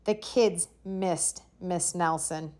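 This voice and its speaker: A woman's voice: fairly low pitch, fairly even intonation, takes it slowly, a little mellow. A woman reading a children's storybook aloud as narrator. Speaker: storybook reader.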